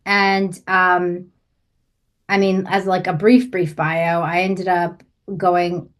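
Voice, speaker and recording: A woman's voice: on the low side, flat delivery, slow pace. Woman from russia chatting as a podcast guest, friendly, lots of uhs and ums. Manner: friendly, thinking aloud with fillers. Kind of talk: casual interview answers. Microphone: remote call.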